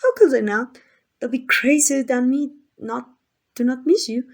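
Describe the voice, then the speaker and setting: Woman as obsessive female kidnapper, fairly high pitch, crackly vocal fry, coaxing, rambling, lovesick, intimate ASMR roleplay. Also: moderate pace. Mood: insistent, adoring. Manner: coaxing, rambling, hesitant asides. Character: lovesick yandere woman kidnapper, possessive, doting and flustered.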